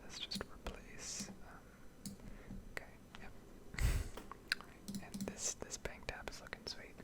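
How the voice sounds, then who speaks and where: A woman speaking in a soft, breathy whisper, rambling in an easygoing, unhurried way over gameplay for ASMR. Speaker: gaming streamer, ASMR video host.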